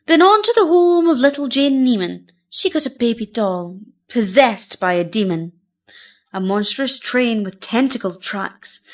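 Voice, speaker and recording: A woman narrating a storybook poem in a theatrical, rhythmic and measured manner. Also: on the high side.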